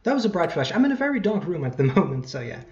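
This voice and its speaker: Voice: medium pitch, sing-song, very fast, a little mellow, muffled recording. A person giving casual let's play commentary, rambling and correcting themselves along the way. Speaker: gameplay host.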